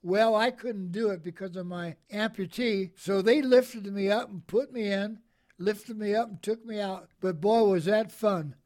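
A man in his eighties, a veteran being interviewed, who talks in a cheerful, soft-spoken, gentle way and chuckles. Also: high voice, measured pace. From American Midwest. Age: 80s. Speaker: Korean War veteran guest. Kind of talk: interview answers.

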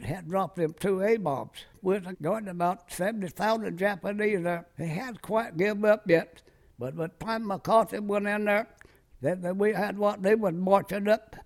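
A very old American man, a war veteran in his nineties, reminiscing in a rambling, folksy way with clipped, loose sentences. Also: sits high. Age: very old, 90s. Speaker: war veteran being interviewed. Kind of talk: oral-history reminiscence.

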